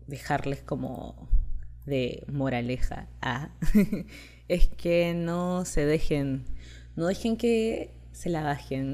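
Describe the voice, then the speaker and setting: A young woman hosts her own podcast, chatting through personal stories. Her voice sits low and sounds relaxed and mellow, never bright. She talks in a confiding, friendly way and laughs easily. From Peru and Chile, raised in Chile. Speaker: podcast host. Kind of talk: casual personal storytelling.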